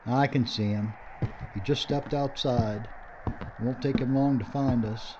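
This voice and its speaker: A man's voice: medium pitch, steady tone, a little slow, a little mellow, highs cut off, a little hiss or hum, lightly acted. An actor playing a blunt, wry, fatalistic male filmmaker making a last stand in a radio horror drama.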